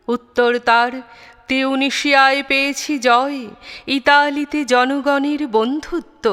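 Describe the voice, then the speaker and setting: High-voiced woman reciting poetry, long reverb. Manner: deliberate, expressive, with pauses between phrases. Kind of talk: dramatic, measured poem recitation.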